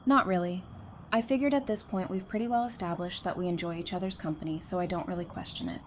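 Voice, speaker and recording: A woman's voice: on the low side, fast talker, slight background noise, natural voice. A woman acting a friendly, teasing survivor in an audio drama, with a casual, colloquial way of talking. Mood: relaxed, warm.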